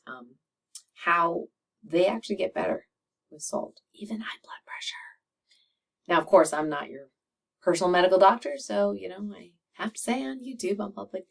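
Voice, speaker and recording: A middle-aged woman with a slightly raspy voice, a doctor chatting to camera, laughing often and using many fillers. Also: on the low side, far from the mic. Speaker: medical doctor and video host. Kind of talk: casual monologue to camera.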